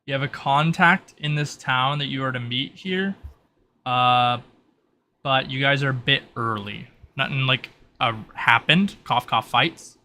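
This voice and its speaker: A man improvising narration as game master, explaining the scene in a halting, conversational way. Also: mid-range voice, bright, forceful tone, slight background noise. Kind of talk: improvised narration of a tabletop roleplay.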